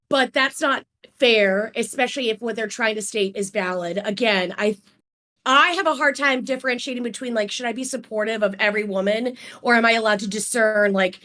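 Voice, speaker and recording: A woman's voice: on the high side, a bit bright. A woman on an online panel who thinks aloud at length, with a reflective, self-questioning manner. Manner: reflective, talks things through at length. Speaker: panel guest. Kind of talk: casual panel discussion.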